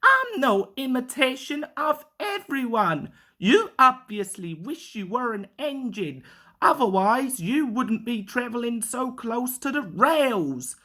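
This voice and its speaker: A woman's voice: on the high side, sing-song, slow, sharp tone, voice pushed up, full character voice. A woman voicing a boastful, grand male steam engine with a short temper in a children's storybook. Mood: angry, indignant. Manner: pompous, indignant.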